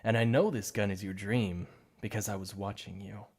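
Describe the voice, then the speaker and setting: A man voicing a shy, obsessive male supervillain in an ASMR roleplay, soft-spoken and stammering over his slips of the tongue. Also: fairly low pitch, steady tone, moderate pace. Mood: softly coaxing.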